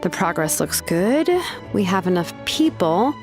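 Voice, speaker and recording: A woman's voice: fairly low pitch, very animated, measured pace. An actress playing a laid-back young female artisan in a video game, speaking in a casual, matter-of-fact and slightly hesitant way. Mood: focused. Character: laid-back, businesslike young woman, master name forger. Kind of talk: video game character acting. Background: music.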